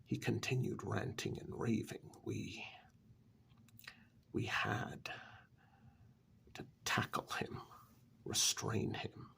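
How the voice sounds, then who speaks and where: A man in a soft-spoken ASMR story role-play, narrating a horror tale in a breathy, gravelly half-whisper as an asylum director, pausing between short phrases. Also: on the low side. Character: asylum director calmly recounting eerie past events. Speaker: narrator telling a horror tale in first person.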